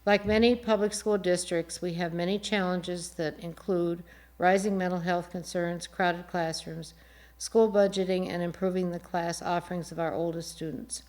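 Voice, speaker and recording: A woman moderating a formal forum in a measured, deliberate way, reading prepared words with small stumbles. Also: lowish voice, fairly even intonation, a little mellow. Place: TV studio.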